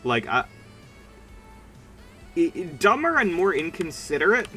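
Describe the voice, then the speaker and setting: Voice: medium pitch, very animated, unhurried, pushed and bright, soft background music. A streamer chatting casually during a game livestream in a rambling, informal and emphatic way. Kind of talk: casual livestream chat while gaming.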